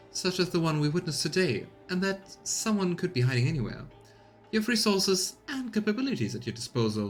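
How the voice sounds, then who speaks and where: A man's voice: medium pitch, natural voice. A man voicing a courtly, self-assured female governor in a video game, speaking in formal, flowery and deferential phrases. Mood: imperious, flattering. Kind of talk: read-aloud game dialogue.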